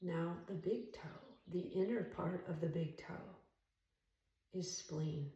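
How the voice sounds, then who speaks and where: Woman doing a calm body scan meditation, really slow n soothing, kinda echoey room, sounds a bit far from the mic. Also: fairly low pitch. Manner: calm, measured, soothing, with long pauses. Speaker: yoga meditation instructor. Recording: roomy echo, distant from mic.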